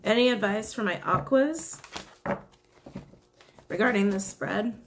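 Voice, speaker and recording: A young woman with a raspy voice giving a casual tarot reading to camera from a small indoor room, chatty and giggly, with apologetic asides. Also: medium pitch, slow, background noise. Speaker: tarot reader.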